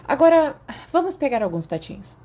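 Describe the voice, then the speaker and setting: A woman's voice: sits high, big pitch swings, normal speed, warm tone, voice pushed up. A woman with a Brazilian accent voicing a cocky, playful teenage wizard schoolboy in an audiobook. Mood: teasing, boastful. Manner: teasing, boastful.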